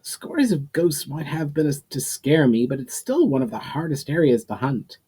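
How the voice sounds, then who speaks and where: Man voicing a higher-pitched, anxious young hunter. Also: very animated, moderate pace, mellow, husky. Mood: anxious protest. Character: worried, superstitious young male hunter.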